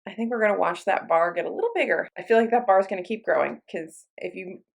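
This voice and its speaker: A woman's voice: medium pitch, quick pace, gravelly. A woman with a mid-range voice chats informally to viewers in the style of a book review vlog. She is conversational and often interrupts herself to rephrase as she goes. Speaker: book reviewer, video channel host. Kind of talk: casual chatty vlog talk to viewers.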